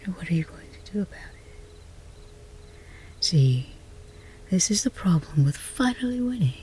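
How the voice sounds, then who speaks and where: A woman playing a flustered, lovestruck chess rival in a romance roleplay ASMR, whispery and intimate, with halting, teasing delivery. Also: on the low side, sing-song, warm tone, a little hiss or hum. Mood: tender, hesitant. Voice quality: breathy, whispery.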